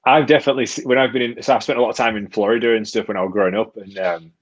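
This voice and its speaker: A man's voice: on the low side, dull audio. A young English man co-hosting a casual podcast, rambling through stories and opinions. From England. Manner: fast, rambling storyteller. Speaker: podcast co-host.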